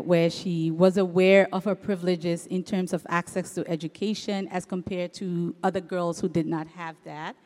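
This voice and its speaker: A woman's voice: mid-range voice, a little mellow. A woman moderating a panel discussion, introducing speakers formally. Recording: reverberant hall.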